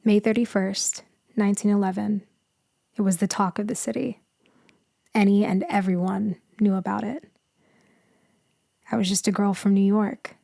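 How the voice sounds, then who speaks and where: A woman playing a gentle, melancholy immortal female vampire in a sleep ASMR roleplay, speaking softly and intimately, often slipping into a whisper. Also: mid-range voice, little pitch movement, no room sound, studio quality. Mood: tender, wistful. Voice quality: soft, breathy, often whispering. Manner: slow, hushed, wistful, intimate.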